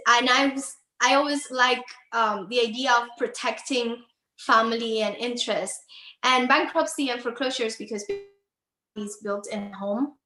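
A woman's voice: fairly high pitch, pushed and bright, reverberant, low-quality recording. A woman from Cuba, speaking English with a Cuban Spanish accent, an attorney talking earnestly and sometimes searching for words as an interview guest. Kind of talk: conversational interview.

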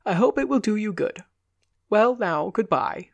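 A woman's voice: medium pitch, lively intonation, measured pace, gravelly, darkish tone, no room sound, voice pushed up. A woman voicing a fussy, kindly male hairdresser in a storybook reading. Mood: coaxing. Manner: fussy, coaxing. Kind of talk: dramatic audiobook reading.

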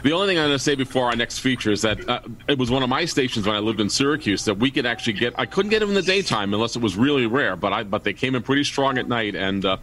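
Fast-talking male radio host. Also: mid-range voice, expressive, fairly bright tone, rough recording. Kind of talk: casual panel chat. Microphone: video call.

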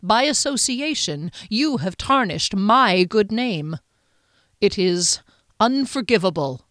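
Woman narrator playing a stern middle-aged duke passing judgment; cold, measured, louder and higher than her narration, dry. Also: expressive, no room sound. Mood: formal judgment. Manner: measured, formal, icy delivery.

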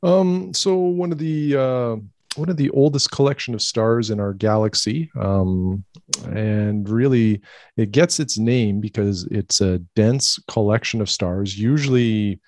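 Easygoing low-voiced man explaining. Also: measured pace, darkish tone, dry recording, studio quality. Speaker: podcast co-host. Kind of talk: casual astronomy chat.

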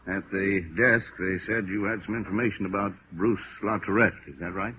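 Deep-voiced, weary police lieutenant. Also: male voice, steady tone, normal speed, pushed and bright. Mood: businesslike. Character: weary, blunt middle-aged police lieutenant. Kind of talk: 1950s radio drama acting. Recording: dull old radio recording, faint noise.

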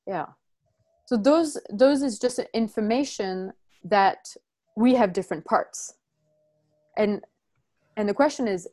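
Woman, from France, workshop facilitator, halting, frequent restarts, explaining slowly. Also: medium pitch, a little mellow. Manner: halting, many restarts and self-corrections. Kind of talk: teaching a group, reading from notes.

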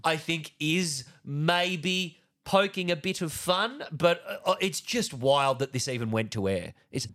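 Animated young man bantering. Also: a little high, unhurried, few pauses. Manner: jokey, laughs through lines, mock accents. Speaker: podcast co-host. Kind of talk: casual banter, reading news aloud.

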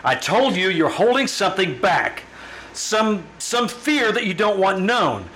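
An actor playing a firm, probing doctor in an old-time radio drama, with a measured, authoritative manner. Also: male voice, high-pitched, lively intonation, bright, forceful tone, a little hiss or hum, heavily acted, low-quality recording. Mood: stern. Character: probing, firm male doctor questioning a patient.

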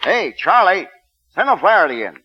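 A noisy, muffled old radio recording holds an animated man's voice. a wry, brisk assistant coach in 1940s drama style. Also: sits high.

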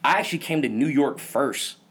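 A man in his thirties who was born in Vietnam chats in an easygoing, slangy way. He tells stories and laughs often, in relaxed conversation. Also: medium pitch, a little fast, slightly rough. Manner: laid-back slangy storytelling, laughs often. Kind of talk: casual chat.

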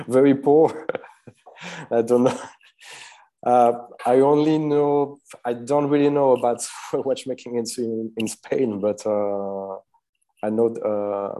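A man from Switzerland, answering interview questions as a watchmaker guest. He speaks hesitantly, with many filler pauses and soft laughs. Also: medium pitch, fairly even intonation, low-quality recording.

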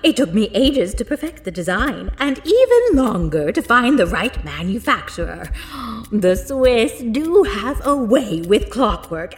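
Give the part of an ancient mad female inventor, manic yet doting. Her pitch swings widely while she lingers over her words with theatrical relish. It is an audio drama, with faint music underneath. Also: a bit bright. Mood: gleeful. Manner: theatrical, savoring words, breathy gasps and laughter.

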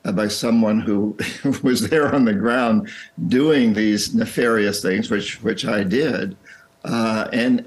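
Low-pitched American man, halting and reflective. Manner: reflective, halting with repeated words. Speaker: interview guest, author. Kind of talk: interview conversation.

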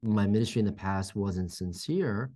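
A man in his forties, a pastor and guest, talking reflectively about faith, halting with many fillers and restarts. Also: on the low side, warm tone. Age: 40s.

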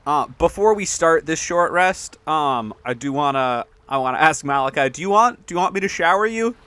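Player: young woman, dry teasing humor, very lively pitch. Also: moderate pace, clean tone, dry recording. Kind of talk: casual tabletop play.

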